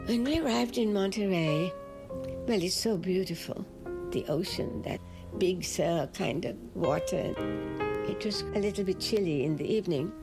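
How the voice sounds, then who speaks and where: A woman's voice: on the low side, moderate pace, vocal fry. An older woman from Sri Lanka, a jazz singer telling stories about her past in an interview. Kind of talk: reminiscing interview. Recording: music playing underneath.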